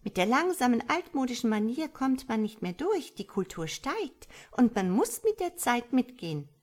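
A woman's voice: on the high side, sing-song, moderate pace, voice pushed up. A woman voicing a smug, carefree young female tadpole in a storybook, pert and dismissive. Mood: flippant.

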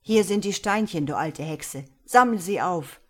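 A woman's voice: lowish voice, unhurried. Woman playing a bold, urgent young lad. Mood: defiant. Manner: urgent, emphatic. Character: brave, loyal young lad, bold and defiant. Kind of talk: fairy-tale reading.

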